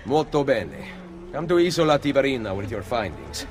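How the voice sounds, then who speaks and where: An actor playing a calm, resolute Italian assassin leader in a dramatic video game scene. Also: male voice, mid-range voice, moderate pace, over music, lightly acted. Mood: earnest, firm.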